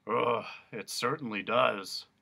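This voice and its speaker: A man voicing a gruff, loyal wind-up watchdog who talks in a growl. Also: mid-range voice, slow, sharp tone, full character voice. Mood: gruff, reassuring.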